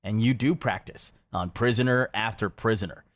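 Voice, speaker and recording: A man reading scripted second-person narration in a measured, matter-of-fact way. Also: on the low side.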